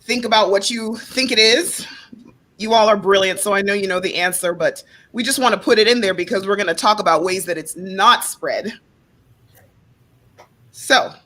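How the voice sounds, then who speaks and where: A woman hosting a panel discussion, lively and emphatic, with a playful streak. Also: mid-range voice, normal speed. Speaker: host.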